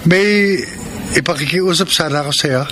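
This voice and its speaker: A man's voice: fairly high pitch, moderate pace, noisy background, natural voice. An actor playing an earnest, hesitant young man in love in a Filipino radio drama. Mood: flustered. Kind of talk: Filipino radio drama acting and confessional narration. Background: music behind the voice.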